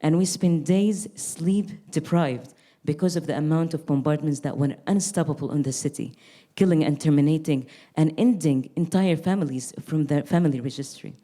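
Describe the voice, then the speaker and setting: Low-voiced young woman, earnest and reflective. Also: normal speed, warm tone. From Gaza Strip. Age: young adult. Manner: reflective, earnest, with frequent restarts. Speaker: artist. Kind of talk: panel conversation.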